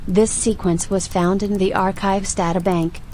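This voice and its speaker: A woman plays a female archive AI in an audio drama, composed and inquisitive. She speaks formally and matter-of-factly at a medium female pitch, with a dry attitude. Some noise runs behind the voice. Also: level tone, darkish tone, lightly acted. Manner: formal, measured, matter-of-fact. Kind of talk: audio roleplay acting.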